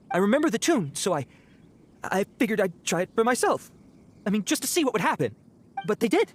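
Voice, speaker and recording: A woman's voice: mid-range voice, slight background noise, lightly acted. A woman playing a cowardly young bandit in a video game, nervous, stammering and pleading. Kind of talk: video game character acting.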